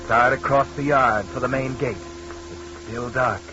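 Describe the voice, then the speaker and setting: A man acting as an ominous narrator in a 1940s radio thriller, tersely and insistently addressing a hurried character. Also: medium pitch, fairly even intonation, close to an everyday voice. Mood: ominous, urgent. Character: ominous male narrator taunting a hurried fugitive. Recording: muffled vintage 1940s radio, loud music.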